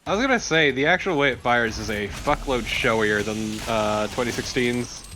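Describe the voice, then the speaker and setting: A man's voice: medium pitch, normal speed, sharp tone, a little hiss or hum. A man co-commentating on a video game in casual, freely profane chat. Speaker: let's play co-commentator.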